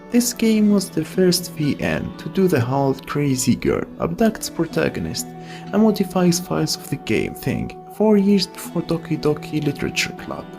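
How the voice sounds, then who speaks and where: Gravelly man narrating with lively pitch. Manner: opinionated, reviewing games. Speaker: video essay narrator. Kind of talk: scripted commentary narration.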